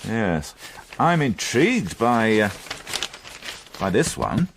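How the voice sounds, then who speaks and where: Sardonic British police superior, brisk, harried, lively pitch movement, radio drama, slight background noise. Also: male voice, vocal fry, heavily acted. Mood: weary, dry. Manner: dry, sardonic, brisk authority. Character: dry, harried senior British police officer, male.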